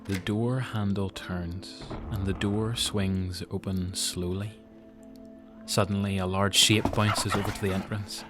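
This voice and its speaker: A man's voice: low-pitched, level tone, takes it slowly. Northern Irish male narrator over music. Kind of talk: scripted dramatic story narration.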